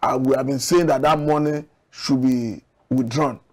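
A middle-aged man from Nigeria, a studio guest analyst, making measured, argumentative points with occasional restarts, on a lapel mic. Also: medium pitch, steady tone, a little mellow. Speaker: public affairs analyst guest. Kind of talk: studio TV discussion. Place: TV studio set.

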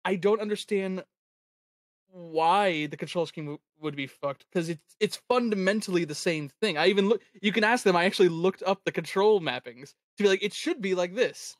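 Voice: mid-range voice, normal speed, dry recording. Dry-sounding streamer telling stories. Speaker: livestream host. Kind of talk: casual group chat on a livestream.

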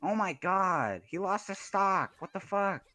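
High, bright, excitable young man. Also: unhurried. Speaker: spectator in group voice chat. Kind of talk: casual, excitable game-watching banter.

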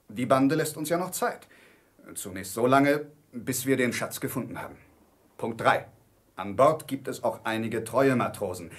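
An actor playing a decisive, level-headed ship's captain in a radio play, speaking firmly and methodically. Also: male voice, medium pitch, lightly acted. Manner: firm, methodical, commanding.

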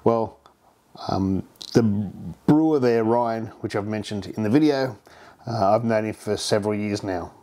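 A man's voice: lowish voice, measured pace, dark tone. A man in his fifties with an Australian accent, hosting a home brewing vlog from his home bar in a chatty, rambling way full of ums and restarts. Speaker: home brewing channel host. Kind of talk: casual beer review vlog. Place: home bar room, indoors.

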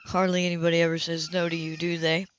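A woman's voice: lowish voice, little pitch movement, fast talker, muffled recording, close to an everyday voice. A woman reading the lines of a confident, easygoing, teasing man in an amateur audiobook. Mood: teasing, insistent.

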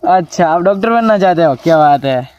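A man's voice: sits high, animated, a little fast, lo-fi sound. A young man from Rajasthan, India, vlogging casually outdoors on his farm with a lapel mic, chatting in a friendly way and asking a child questions. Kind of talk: casual village vlog.

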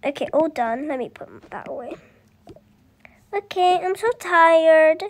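The voice is very high and childlike, with a slow, unhurried delivery. It speaks softly, acting out everyday family dialogue for an improvised children's roleplay story. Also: lively intonation. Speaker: voiced roleplay video creator.

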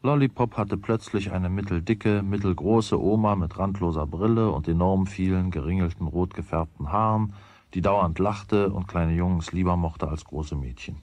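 An actor playing the storyteller, narrating a German children's radio play from a script. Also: male voice, deep voice, normal speed, darkish tone, no echo. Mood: calm storytelling. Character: male storyteller of a children's tale.

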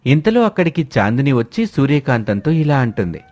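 A man narrates a scripted tale in the manner of a storyteller. His voice sits at an ordinary middle pitch for a male speaker. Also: sing-song, fairly quick, a little mellow.

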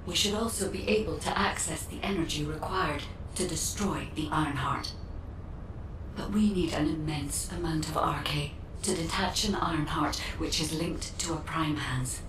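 An actress playing a solemn guide who directs warriors in a video game, speaking formally and in a stately way with an echoing effect. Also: female voice, low-pitched, moderate pace, noisy background. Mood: calm, instructive. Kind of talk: scripted video game drama acting. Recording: echoey, distant sound under clear music.

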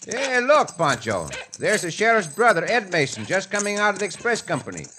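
An actor playing a courtly Mexican hero in a 1950s radio drama, speaking formal English. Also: male voice, high voice, sing-song, fairly quick, sharp tone, exaggerated voice. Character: Cisco Kid, suave Mexican caballero hero. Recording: noisy old radio broadcast.

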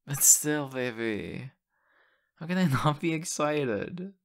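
Man acting as an affectionate, unhurried young boyfriend. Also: on the high side, animated. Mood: fond, curious. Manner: halting, repeats words, breathy laughs and sighs. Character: excited, affectionate young boyfriend, playfully teasing. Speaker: voice actor in a boyfriend roleplay. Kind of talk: ASMR audio roleplay, one-sided intimate conversation.

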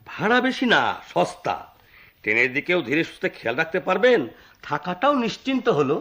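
A garrulous, satirical oddball man at a railway station in a radio drama. His Bangladeshi-accented voice sits fairly high and leaps up and down. He rambles through explanations theatrically, full of little filler phrases. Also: sing-song, fairly quick, sharp tone, exaggerated voice. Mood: knowing, casual. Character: talkative, eccentric local man at a railway station, slyly satirical. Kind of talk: Bengali radio drama acting.

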